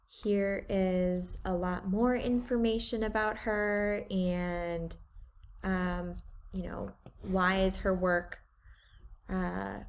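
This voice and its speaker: A woman art teacher walks her class through a screen-share lesson at an unhurried pace. She talks casually, thinking aloud and filling pauses with ums. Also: medium pitch. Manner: relaxed, thinking aloud with many fillers.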